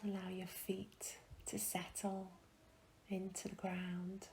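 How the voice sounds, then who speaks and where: A woman guiding a meditation in a soft, breathy, soothing way, leaving long pauses between phrases. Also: medium pitch, monotone, a little hiss or hum, poor audio. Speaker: meditation guide. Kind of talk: guided meditation.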